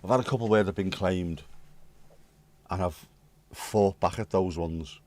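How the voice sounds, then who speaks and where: Hoarse British man, very deep voice. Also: a little slow, darkish tone. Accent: British English. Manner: blunt, swears casually. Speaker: livestream host. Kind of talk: casual chat reading viewer comments.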